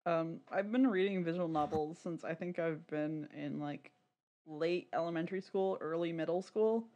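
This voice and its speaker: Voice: medium pitch, moderate pace, dark tone. A podcast co-host chatting casually in a rambling, candid, giggly way.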